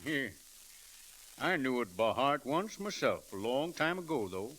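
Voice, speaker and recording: A hissy, crackly 1930s radio play recording. a plain-spoken, wistful man with a sharp, bright voice. His intonation rises and falls a lot. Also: medium pitch, very animated, measured pace.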